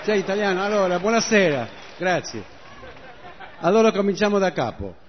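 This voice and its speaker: A man's voice: sits high, very animated, a little fast, a faint crowd. Multilingual man joking from a stage. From Argentina. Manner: switches languages, joking and conversational. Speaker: musician addressing a concert audience. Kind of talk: stage introduction to an audience. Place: outdoor concert stage. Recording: muffled live recording with audience.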